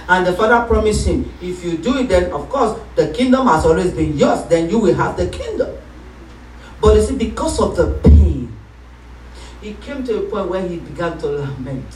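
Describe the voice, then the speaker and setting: A woman pastor preaching a sermon, calling for responses and rising into shouts. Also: fairly low pitch, big pitch swings, moderate pace, far from the mic, slight background noise. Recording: echoey room, voice from off-mic.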